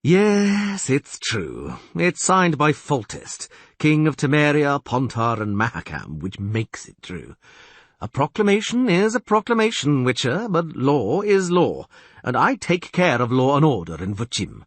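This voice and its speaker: A man voicing a pompous middle-aged male castellan who blusters loudly, his pitch swooping high. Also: very animated, a little slow, no echo. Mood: stern. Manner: loud, theatrical, swoops high.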